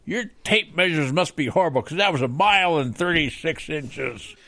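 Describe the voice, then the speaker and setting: Man playing a gravelly, crabby cowboy handyman. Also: fairly high pitch, expressive, normal speed, sharp tone, no room sound. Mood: blustering. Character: crabby, combative old west handyman, rival gunslinger of tools. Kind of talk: comedic western radio play.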